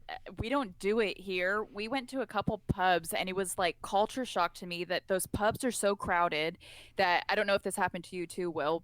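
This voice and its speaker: A woman's voice: lowish voice, clean tone, dry recording, low-quality recording. A young woman from California, a podcast guest, chatting casually and acting out the lines she quotes. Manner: animated storyteller, acts out quoted lines. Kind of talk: casual chat.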